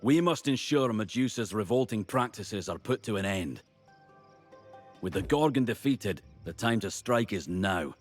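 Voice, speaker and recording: An actor playing a grave, dutiful, nature-devoted ally in a video game, speaking in a measured, formal, stern way. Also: male voice, on the low side, animated, faint music behind. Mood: grave resolve. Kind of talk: dramatic video game acting.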